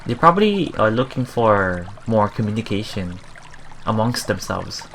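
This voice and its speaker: A man hosting a let's play, reading game text aloud and adding halting, musing casual commentary, with water sounds behind him. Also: lowish voice, big pitch swings, vocal fry, a bit bright, a little hiss or hum.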